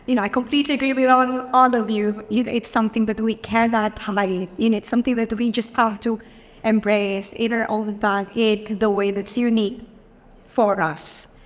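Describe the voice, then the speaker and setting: A woman from the Philippines moderating a panel, speaking reflectively with many pauses and fillers. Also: a little high, flat delivery. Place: conference hall. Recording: long hall reverb.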